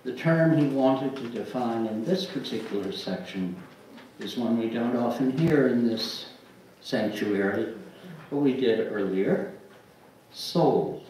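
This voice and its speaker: An older man reads aloud from a church pulpit at a slow, measured pace, pausing between phrases. His mid-pitched voice rings heavily in the room. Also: a little mellow. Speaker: lay reader and book publisher. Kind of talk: reading aloud at a church service. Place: church sanctuary, at a wooden pulpit. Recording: very echoey room, distant mic, faint noise.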